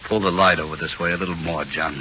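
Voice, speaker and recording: An actor playing a hard, menacing American police interrogator in a 1940s radio drama, gruff and bullying. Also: male voice, deep voice, steady tone, fast, sharp tone. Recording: dull, slightly noisy old radio recording.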